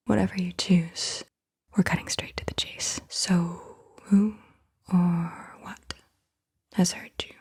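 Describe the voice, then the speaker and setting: Breathy whispering ASMR woman. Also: on the low side, fairly even intonation, a little mellow. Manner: slow, deliberate, short phrases with pauses. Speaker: ASMR host. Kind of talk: soft-spoken whispered ASMR, guided questions.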